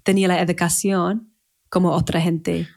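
Podcast guest: English woman, 30s, native English speaker, lowish voice, halting and searching in Spanish. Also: flat delivery, vocal fry, darkish tone. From England. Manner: searching for words, restarts in a second language. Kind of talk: casual conversational interview.

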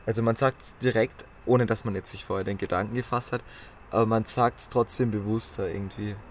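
A man's voice: on the low side, fairly even intonation, fairly quick, slight background noise. A man in casual podcast chat, musing and thinking aloud in loose phrasing. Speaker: podcast co-host.

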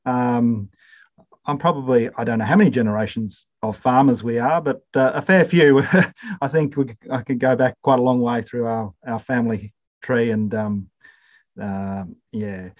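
A man's voice: medium pitch, normal speed, darkish tone, dull audio. A middle-aged man from Zimbabwe, a podcast guest talking casually with many fillers and restarts. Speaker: podcast guest, incoming college principal. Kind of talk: casual interview chat.

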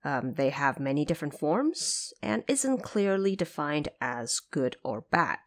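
A woman with a low voice narrates as a podcast host. Her intonation moves up and down a lot while she explains in a measured, articulate way. The delivery sounds prepared, like someone presenting notes. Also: sing-song. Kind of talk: semi-scripted explanatory narration.

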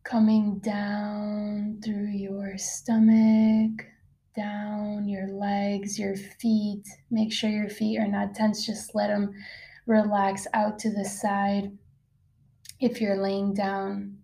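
Slow, mellow woman guiding meditation. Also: medium pitch, completely flat delivery, creaky. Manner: soft-spoken, unhurried, with long pauses. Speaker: meditation guide. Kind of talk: guided meditation, slow soothing narration.

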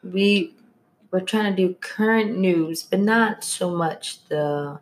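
A woman's voice: lowish voice, slow pace. A woman in her early twenties co-hosting a casual podcast, hesitant and searching for her words. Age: 20s. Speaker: podcast co-host. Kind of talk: casual chat.

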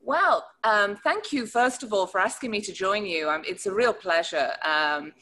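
A woman's voice: mid-range voice, lively intonation, normal speed, bright, forceful tone. A middle-aged woman with a Northern English accent, talking casually as an interview guest and reminiscing. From north of England, rural Cheshire. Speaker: interview guest, wine educator and professor.

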